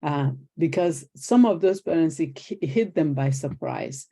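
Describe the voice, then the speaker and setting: Older Nigerian woman, guest storyteller, rough-edged mellow tone. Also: sits low, a little slow. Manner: animated storyteller acting out quoted exchanges. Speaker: guest, retired teacher. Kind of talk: conversational interview storytelling.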